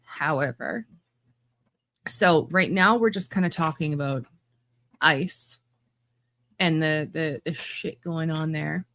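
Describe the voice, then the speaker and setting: A woman's voice: low voice, takes it slowly, long pauses, fairly bright tone. A woman hosting a podcast, giving blunt, casual commentary with laughing asides and frequent restarts. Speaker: podcast host.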